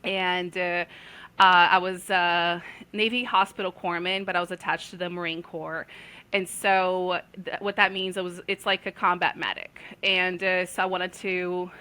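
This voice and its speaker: A woman in her forties from Brazil, earnestly telling her life story as a guest, pausing often on fillers. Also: on the low side, little pitch movement, bright, forceful tone, clean tone. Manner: earnest, with many filler pauses. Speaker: guest, intuitive healer. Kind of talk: casual storytelling.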